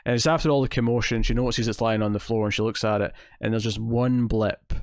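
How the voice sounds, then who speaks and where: A man's voice: lowish voice, fairly even intonation, fast, darkish tone, dry recording. Scottish man, close dry sound. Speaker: podcast co-host. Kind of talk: casual chat, TV episode review. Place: indoors at home, online video call.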